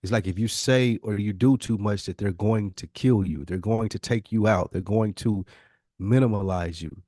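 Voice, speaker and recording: A man's voice: fairly low pitch, moderate pace, dark tone, no room sound. Emphatic man in slangy, dry-sounding podcast talk. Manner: emphatic, repeats phrases for emphasis; slangy, profane. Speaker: podcast speaker. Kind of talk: opinionated casual conversation.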